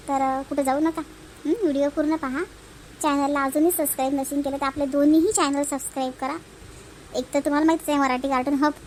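A woman's voice: high-pitched, darkish tone, a little hiss or hum, rough recording. A woman with a Vidarbha Marathi accent chatting to viewers in character as host of a cartoon channel.

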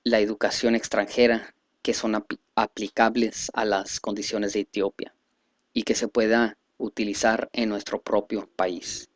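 A man reading a speech aloud in a halting, careful way, stumbling over words now and then. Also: lowish voice, flat delivery, dry recording. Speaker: reader presenting a selected speech.

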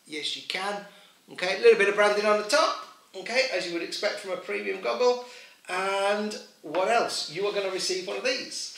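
A man's voice: high-pitched, a little fast, vocal fry, a bit bright. A middle-aged man selling ski goggles, walking through the product to camera in a chatty, informal way with many fillers. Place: small indoor room with boxes. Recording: echoey room, mic at a distance.

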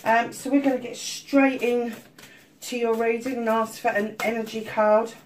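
A woman's voice: a little high, level tone, normal speed, a little hiss or hum, poor audio. A middle-aged woman giving a casual tarot reading at home, a little stuffy-nosed, chatty with many ums. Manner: chatty, warm-hearted asides with many ums. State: slightly stuffy-nosed from illness. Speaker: tarot reader. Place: home room at a table of crystals.

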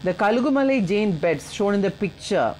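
A woman's voice: mid-range voice, lively intonation, brisk, a little mellow, slight background noise, rough recording. A woman with a South Indian accent giving an explanatory school history lesson, partly read out, repeating and rephrasing key points in a didactic way.

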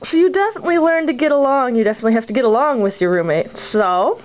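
High-voiced young woman, casual campus tour. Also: expressive, fast. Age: young adult. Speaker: college student giving a campus tour. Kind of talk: casual vlog narration. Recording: dull lo-fi camera audio, faint noise.

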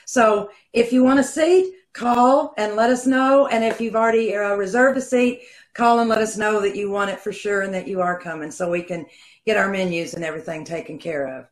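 A woman's voice: medium pitch, fairly even intonation, fairly quick. Southern woman in an echoey room. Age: middle-aged. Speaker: troupe member making announcements. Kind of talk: casual announcements. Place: room at home, on video call. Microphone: video call microphone. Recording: roomy, echoey video call.

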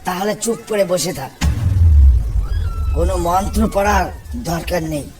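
A man's voice: high-pitched, moderate pace, natural voice. A man voicing a wary, superstitious older fisherman uncle in a cartoon, bossy and given to ominous warnings. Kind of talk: Bengali cartoon horror-comedy dialogue. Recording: distant mic under clear background music.

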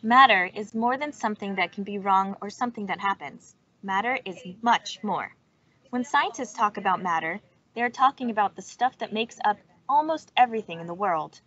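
A woman's voice: mid-range voice, animated, normal speed, a bit bright. A woman reading a children's science book aloud over a video call. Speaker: storytime reader. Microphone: video call microphone. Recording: dull, lo-fi video call audio.

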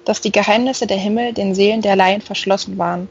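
Woman reading an audiobook, kinda husky, mid pitch, slightly muffled recording. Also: fairly even intonation, fairly quick, darkish tone. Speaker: audiobook reader. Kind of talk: scripted literary narration. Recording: slightly dull, band-limited sound.

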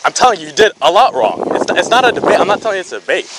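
A man's voice: high-pitched, big pitch swings, racing, a crowd in the background, rough recording. A man officer on a beach arguing insistently during a stop, repeating himself and cutting in, recorded on his body camera. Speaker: wildlife officer. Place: outdoor beach.

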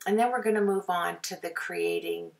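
A woman with a raspy voice from a sore throat, narrating a card-making tutorial as a chatty, rambling voice-over. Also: on the low side, fairly even intonation, normal speed, far from the mic. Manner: chatty, rambling, with frequent fillers. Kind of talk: casual voice-over for a craft video.

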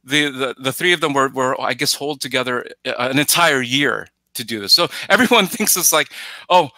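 A middle-aged man talking casually as a podcast guest on a headset, haltingly, often searching for words and repeating himself. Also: medium pitch, sharp tone, dry recording. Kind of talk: casual film discussion. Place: indoors at home on a video call. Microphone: headset on video call.

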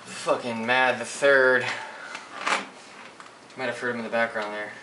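A young man talking over his gameplay, often cursing and shouting in frustration. His voice comes from a distance in an echoey room, with clear background noise on the recording. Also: mid-range voice, fairly even intonation, measured pace. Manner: profane, frustrated outbursts at the game. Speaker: gameplay commentator. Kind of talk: live gaming commentary. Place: indoors at a computer.

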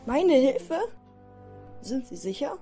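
A woman playing a kind, modest little male steam locomotive in a gentle, earnest way for a cartoon fan dub. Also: high-pitched, big pitch swings, takes it slowly, soft background music, exaggerated voice. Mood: earnest, willing.

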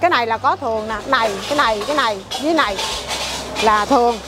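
Middle-aged woman, Southern Vietnamese accent, high bright voice, chatty stall owner reeling off dishes, music under the talk. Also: quick pace. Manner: chatty, lists dishes one after another. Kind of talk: casual interview chat. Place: busy indoor market food stall.

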